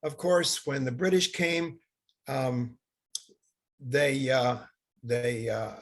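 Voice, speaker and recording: An older man who grew up in Bangladesh, giving a halting seminar lecture over slides, with many fillers and restarts. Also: medium pitch, fairly even intonation.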